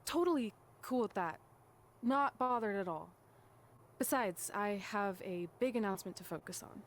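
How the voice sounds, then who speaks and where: A woman's voice: mid-range voice, animated, moderate pace, close to an everyday voice. A woman voicing a wry young wrestler, a proud best friend, in a video game cutscene, sarcastic and grudging. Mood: feigned cool.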